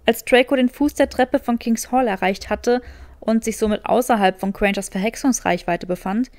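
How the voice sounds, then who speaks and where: A woman's voice: fairly high pitch, normal speed, a little mellow, dry recording. A woman reading scripted fiction as an audiobook narrator.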